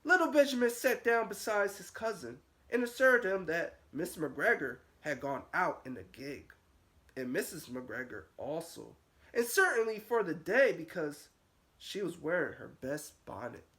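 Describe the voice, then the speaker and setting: A man's voice: a little high, sing-song, unhurried, fairly bright tone. A man reading a storybook aloud to young children as a nursery teacher, with a sing-song delivery that rises and falls.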